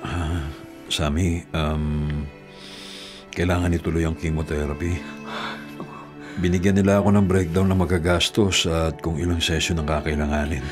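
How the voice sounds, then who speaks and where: Radio drama lead: man playing young Filipino working brother and sweetheart, lowish voice, earnest, hesitant, emotional, scored with music. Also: level tone, moderate pace, long pauses, close to an everyday voice. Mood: grave. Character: devoted, struggling older brother and gentle sweetheart. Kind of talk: Tagalog radio drama acting. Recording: music under the voice.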